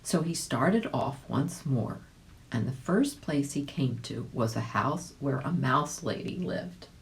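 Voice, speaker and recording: A woman with a low voice narrates a children's storybook. She reads in a chatty way, slipping in casual asides and small questions to the listener. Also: expressive, slow pace, low-quality recording. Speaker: volunteer storybook reader.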